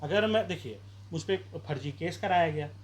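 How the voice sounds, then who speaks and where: A man's voice: fairly high pitch, moderate pace. Male candidate, street interview, argumentative and rousing, light background noise. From Bihar, India. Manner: combative, rhetorical questions, campaign-style appeals. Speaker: independent election candidate.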